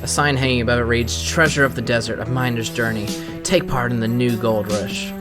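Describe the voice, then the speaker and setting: A man's voice: medium pitch, fairly even intonation, a little fast. A man with an American accent, a game streamer reading visual novel narration aloud. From Missouri, United States. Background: guitar music.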